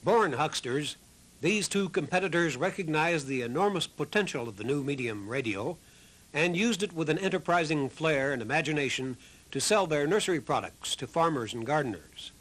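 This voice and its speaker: A man reading scripted documentary narration in a measured storytelling way. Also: fairly high pitch, lively intonation, a bit bright, rough recording. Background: music.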